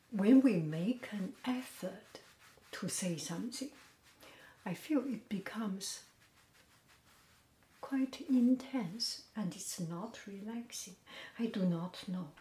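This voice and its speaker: A woman hosting a podcast, talking softly and gently in a half-whisper, in a relaxed, unhurried chat. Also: medium pitch. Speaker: podcast host. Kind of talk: soft, slow casual chat. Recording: roomy echo with faint noise.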